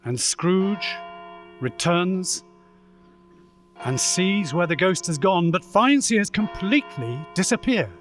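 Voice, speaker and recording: A man plays a solemn storyteller with a fairly high voice and a slow, measured delivery. He narrates a ghostly radio play dramatically, over faint music. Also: very animated, full character voice.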